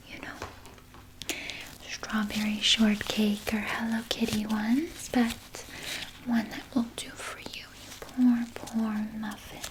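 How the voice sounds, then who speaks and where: Woman as a whispering, slow vampire nurse. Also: a little high, background noise. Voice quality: breathy whisper. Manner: slow, soothing, coddling with veiled threat. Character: vampire nurse, sweetly doting yet quietly menacing. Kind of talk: ASMR roleplay, personal attention.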